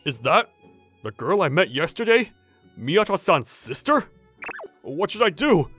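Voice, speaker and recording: An actor playing a polite, flustered teenage schoolboy in a manga dub, earnest and prone to stammering. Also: male voice, high voice, sing-song, measured pace, pushed and bright, soft background music, full character voice. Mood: nervous. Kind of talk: manga dub voice acting, scripted.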